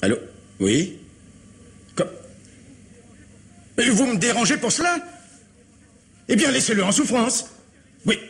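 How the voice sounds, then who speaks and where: An actor playing an anxious, defensive middle-aged factory owner in a French radio mystery, speaking formally with agitated hesitation in a husky voice. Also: male voice, very high-pitched, wildly swooping pitch, slow, pauses often, fairly bright tone, full character voice. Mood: irritated.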